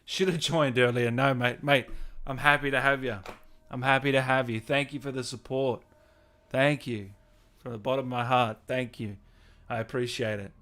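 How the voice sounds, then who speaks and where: A man hosting a casual livestream, reading out viewer messages and thanking people with effusive, drawn-out, hyped shout-outs. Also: mid-range voice, steady tone. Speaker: livestream host and channel owner. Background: music playing behind the voice.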